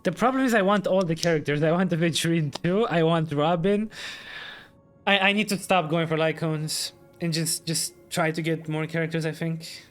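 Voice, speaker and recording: A man's voice: on the high side, steady tone, normal speed. A man streaming a game and reacting casually as he plays along. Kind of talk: casual playthrough reaction chat. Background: game music.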